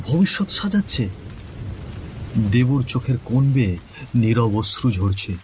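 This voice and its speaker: Male narrator: somber horror storyteller, very slow pace. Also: medium pitch, a little mellow, slight background noise.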